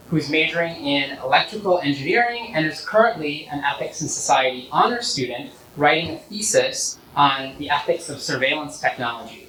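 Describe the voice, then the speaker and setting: Higher-voiced man introducing an event. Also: fairly bright tone, slight background noise. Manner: reading announcements with fillers. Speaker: event host. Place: university lecture hall. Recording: echoey hall, distant mic.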